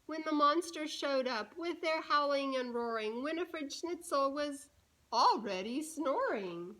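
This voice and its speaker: Lady with a high voice doing storytime for little kids, animated, takes it slow, asks them stuff. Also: poor audio. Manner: animated, deliberate, asks listeners questions. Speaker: children's storybook reader. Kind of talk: expressive read-aloud to young children.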